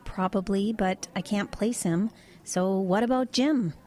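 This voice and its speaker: A woman's voice: mid-range voice, takes it slowly, a little mellow, close to an everyday voice. An actress playing a blunt, nosy small-town woman in an audio drama, with a pressing, plain-spoken manner. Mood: incredulous, probing. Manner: pressing, plain-spoken, exasperated folksy banter.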